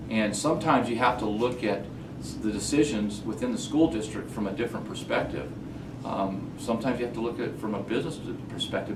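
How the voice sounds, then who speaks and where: A man answering a question at a public forum, speaking haltingly and repeating words as he reasons. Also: mid-range voice. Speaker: school board applicant. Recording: roomy, distant mic, loud background noise.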